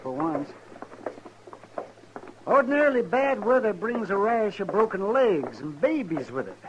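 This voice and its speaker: An actor playing a grumbling, querulous frontier town doctor in a 1950s radio western. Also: male voice, high voice, big pitch swings, a little slow, lo-fi sound. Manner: querulous, complaining. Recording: noisy old radio recording.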